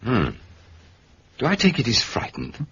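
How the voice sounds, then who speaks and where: An actor playing a mild, thoughtful English gentleman sleuth in a period radio drama, polite, diffident and gently probing. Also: medium pitch, wildly swooping pitch, unhurried, exaggerated voice. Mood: curious. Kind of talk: British period detective radio drama acting. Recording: muffled radio recording, clear background noise.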